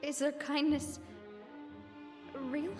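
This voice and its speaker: A woman's voice: high-pitched, little pitch movement, extremely slow. An actress voicing an earnest young female boss in a video game, hesitant, reflective and wounded. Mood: sad self-doubt. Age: young adult. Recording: music behind the dialogue. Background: game music.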